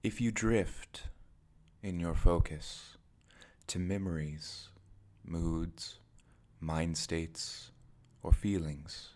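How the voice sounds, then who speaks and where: Male meditation guide, very low-pitched, unhurried and slow, deliberate phrasing with pauses. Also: steady tone, a little mellow. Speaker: meditation teacher.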